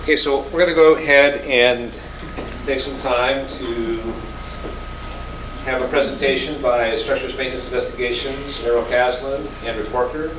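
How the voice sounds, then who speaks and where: A man with a mid-range voice moderates a public meeting, handing over to the next speakers slowly and with some hesitation. He is far from the mic in an echoey hall, with clear noise around him. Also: mid-range voice, steady tone. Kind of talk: introducing speakers.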